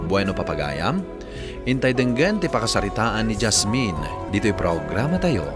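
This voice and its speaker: A man's voice: lowish voice, sing-song, normal speed. A man with a Filipino accent hosting and narrating a radio drama in a dramatic scripted style. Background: music.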